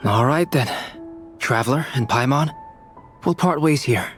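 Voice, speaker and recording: A man's voice: medium pitch, a bit bright, lightly acted. Man as a composed, formal scholar. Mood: calm, thoughtful. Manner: measured, formal. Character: composed, scholarly male alchemist, calm and courteous. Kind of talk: video game voice acting. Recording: music behind the voice.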